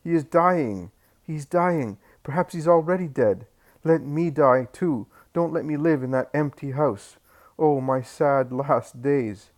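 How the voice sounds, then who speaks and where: Frantic, pleading old grandfather, as a man puts him on. The voice is lifted well above the reader's own and pushed loud as the old man begs for mercy. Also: a little high, sing-song, normal speed, voice pushed up. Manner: pleading, crying out.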